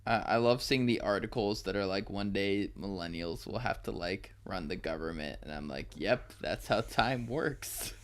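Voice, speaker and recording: A man in his twenties co-hosting a podcast, chatting casually and swearing freely. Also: lowish voice. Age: 20s. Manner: animated, swearing, quick laughs. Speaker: podcast co-host. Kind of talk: casual chat.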